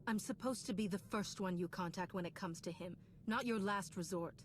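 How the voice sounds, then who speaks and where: A woman's voice: mid-range voice, brisk, a little hiss or hum, lightly acted. A woman playing an earnest, resolute Jedi hero in a video game.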